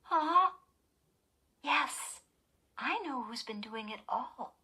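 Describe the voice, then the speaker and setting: A woman's voice: high-pitched, big pitch swings, slow pace, sharp tone, pitched above the performer's own voice, heavily acted. A woman voicing a mischievous young girl in a storybook, a teasing twin with a casual, offhand manner. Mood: secretive.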